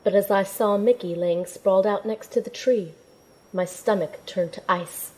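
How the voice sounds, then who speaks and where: A woman's voice: mid-range voice, moderate pace, darkish tone, natural voice, poor audio. An actress playing a young heroine who narrates her adventure in an earnest, dramatic first-person style. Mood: suspenseful.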